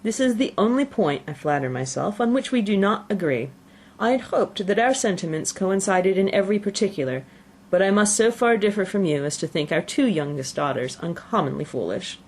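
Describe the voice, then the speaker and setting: A woman voicing a dry, sardonic middle-aged gentleman in a classic novel, in a cool, measured manner. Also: mid-range voice, lively intonation, natural voice. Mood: dry, teasing. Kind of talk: audiobook character reading.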